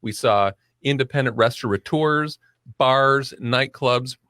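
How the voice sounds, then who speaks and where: A man's voice: mid-range voice, slow, sharp tone, no echo. A man talking about starting a vodka brand, with a mid-range voice and the odd wry aside along the way. He sounds close and dry, with next to no room on the recording. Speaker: vodka brand founder, podcast guest. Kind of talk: casual podcast chat.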